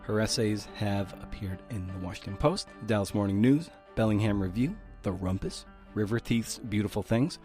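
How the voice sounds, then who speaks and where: A man's voice: lowish voice, normal speed, hardly any pauses, dark tone, soft background music. A man hosting a podcast, introducing essayists and their work with informal, playful asides. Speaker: podcast host.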